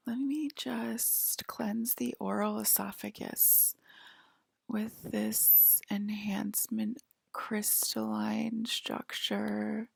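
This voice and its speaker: A woman softly whispering an ASMR roleplay to the listener as a polite, attentive alien abductor, pausing often. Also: mid-range voice, gravelly, husky, no echo. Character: polite, attentive female alien abductor queen acting as medical examiner. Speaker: ASMR performer.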